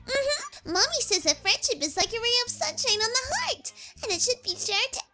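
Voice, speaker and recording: A woman's voice: very high voice, very animated, moderate pace, music in the background. A woman voicing a tiny, cheerful, loving filly schoolgirl in an audio drama. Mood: cheerful, sweet. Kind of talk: fan audio drama acting.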